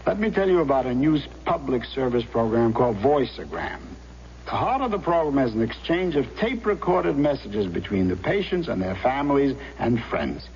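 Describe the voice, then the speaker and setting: A man's voice: medium pitch, big pitch swings, normal speed, darkish tone. A man making an earnest, scripted public service appeal on old radio. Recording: muffled old radio with hiss, hum.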